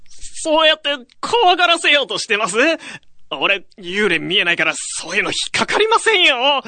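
A man's voice: very high-pitched, huge pitch swings, moderate pace, bright, forceful tone, no room sound, heavily acted. A man playing a young male college student who tells a ghost story in a radio drama. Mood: casual, puzzled. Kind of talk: radio drama narration with acted dialogue.

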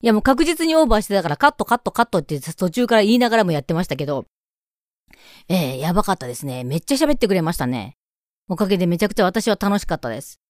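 A woman's voice: medium pitch, very animated, racing, a little mellow, dry recording, clean studio sound. A woman hosting a casual radio chat, mixing polite speech with playful teasing. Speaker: radio show host.

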